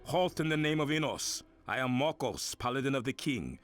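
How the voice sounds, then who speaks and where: A man voices a duty-bound paladin in a fantasy video game, the last survivor of his company. He speaks formally and solemnly, in a mid-range voice that stays steady and upright. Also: mid-range voice, normal speed, faint music behind, natural voice. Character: stern male paladin guarding ore alone. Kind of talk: dubbed video game dialogue.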